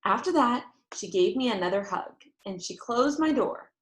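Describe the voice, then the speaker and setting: A woman's voice: on the high side, animated, normal speed, poor audio. A woman teacher reading aloud to young children, explaining things in simple phrases. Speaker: teacher reading a chapter book aloud to pupils. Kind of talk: read-aloud storytelling for children.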